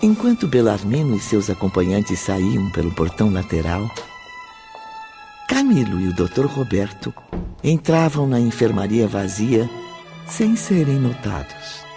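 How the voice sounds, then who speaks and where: Slow, dramatic male narrator. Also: lowish voice, big pitch swings, creaky. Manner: measured, solemn, emphatic. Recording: faint music behind. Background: soft background music.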